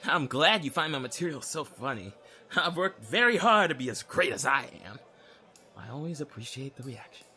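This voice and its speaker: A smug young male convict played by a man in a comedy audio drama, taunting and theatrical. His voice is bright and fairly high, with lively swings in pitch. Music plays behind him. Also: normal speed. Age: young adult. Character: cocky, mouthy young male prisoner, taunting newcomer.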